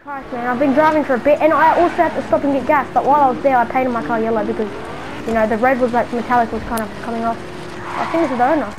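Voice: medium pitch, fairly even intonation, rapid-fire, noisy background, natural voice. A young performer playing a brash, exasperated young man in an improvised game roleplay, slangy and repeating bro and man. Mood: casual, chatty.

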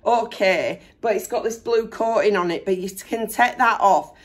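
Hoarse chatty woman. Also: mid-range voice, normal speed. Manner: chatty, excited, self-deprecating. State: hoarse, recovering from lost voice. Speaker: vlogger. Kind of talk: casual chat. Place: bedroom at home, indoors.

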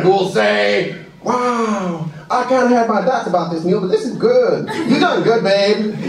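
A man in his thirties performing sound poetry in a loud, rapid, half-shouted delivery in a small room. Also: extremely high pitch, gravelly. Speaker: poet. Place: small gallery room with audience. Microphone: stand mic, filmed from far camera. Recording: very echoey room from far off.

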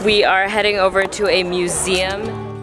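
A woman's voice: mid-range voice, fairly even intonation, measured pace. A young adult woman, chatting casually as a travel vlogger into a handheld camera. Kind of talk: casual travel vlog chat. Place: outdoor city sites and large busy bazaar. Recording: loud music and crowd behind.

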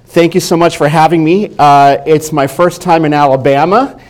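A man's voice: fairly high pitch, moderate pace. A middle-aged man from Mexico, a physician giving a conference lecture on stage in a friendly, conversational way, asking the audience questions. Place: dark stage, indoors.

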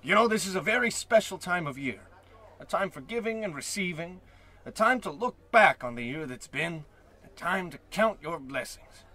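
A man plays a rich, self-important celebrity in a radio comedy, full of theatrical bluster. His voice is high and bright and swings wildly in pitch. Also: animated, moderate pace, heavily acted. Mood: grandiose. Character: pompous, excitable rich male celebrity host. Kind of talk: old-time radio comedy acting.

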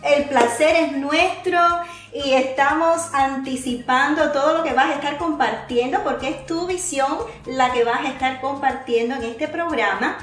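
A woman's voice: high voice, measured pace. A middle-aged woman, hosting a radio interview program. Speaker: radio show host. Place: small indoor set with curtains. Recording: roomy sound with music behind.

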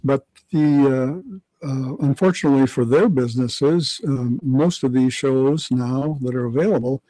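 A man's voice: medium pitch, moderate pace, warm tone, dry recording, low-quality recording. An older man, a radio historian, explaining matter-of-factly in a studio conversation. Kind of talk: informative conversation.

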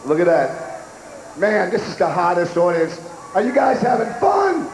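A middle-aged man co-hosting a festival stage with a handheld mic, hoarse and losing his voice, hyping the crowd with rowdy, profane shouts. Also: sits high, wildly swooping pitch, normal speed, a little hiss or hum. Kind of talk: crowd hype banter. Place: outdoor festival stage before a huge crowd. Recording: echoey outdoor PA with loud crowd.

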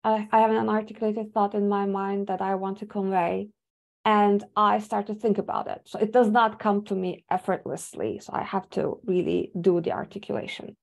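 A woman's voice: mid-range voice, level tone, normal speed, gravelly, a little mellow. A young woman, an English speaker, giving a webinar talk from slides over a video call, thoughtful with many fillers and restarts. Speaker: academic speaker. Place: home room indoors. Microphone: video call webcam.

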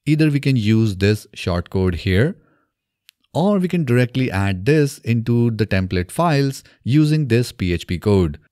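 A man in his thirties presenting a software tutorial step by step in a methodical way, recorded close on a desk microphone in a small home studio. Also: medium pitch, very animated, measured pace, no room sound. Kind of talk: scripted software tutorial narration. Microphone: close desk microphone on boom arm.

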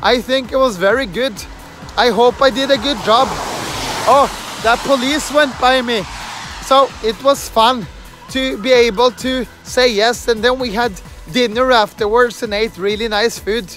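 A man in his thirties from Norway, speaking English with a Norwegian accent, talks haltingly to a phone held at arm's length as he walks outdoors at night. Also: very high voice, fairly even intonation, fairly bright tone, faint music behind. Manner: halting, many fillers and restarts, earnest. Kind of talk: casual walking vlog. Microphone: phone camera held at arm's length.

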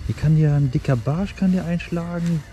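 A man filming a casual fishing vlog outdoors by a river, chatty and getting excited as he fishes. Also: a little high, moderate pace, lo-fi sound. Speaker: fishing vlogger. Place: outdoors by a river and in a small hut.

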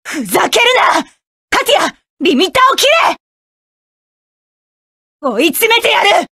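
Fierce, very high-pitched pilot. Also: female voice, very animated, unhurried, no echo, studio quality, heavily acted. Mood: fierce, commanding. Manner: commanding, combative battle shouts. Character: fierce proud young woman mecha pilot. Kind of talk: game battle voice lines.

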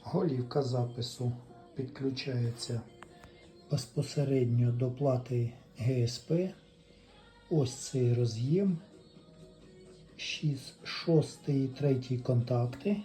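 A man gives casual step-by-step repair commentary as a hobbyist, thinking aloud in an unhurried, tentative way. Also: mid-range voice, dark tone, faint music behind. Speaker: hobbyist repairer presenting a DIY video.

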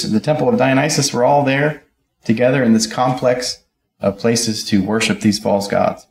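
A man's voice: mid-range voice, creaky, roomy sound. A middle-aged man preaching a sermon at a pulpit, reading scripture aloud and explaining it verse by verse. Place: church auditorium, speaking at a pulpit.

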